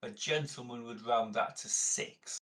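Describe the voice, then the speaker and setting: A man's voice: mid-range voice, unhurried, fairly bright tone. A man playing a tabletop game, talking casually and explaining things methodically.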